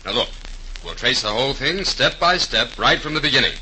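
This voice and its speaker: Forceful, no-nonsense police sergeant. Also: male voice, mid-range voice, lively intonation, poor audio. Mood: assured, explaining. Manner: brisk, assured, tough-talking explainer. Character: confident, no-nonsense male police detective sergeant. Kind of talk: 1930s radio acting. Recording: hissy 1930s radio recording.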